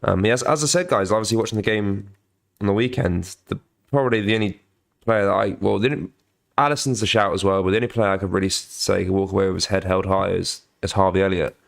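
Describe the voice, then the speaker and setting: A young man with a cold-croaky voice hosting a casual live football watch-along, halting with many ums while reading viewer comments. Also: fairly low pitch. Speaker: football channel host.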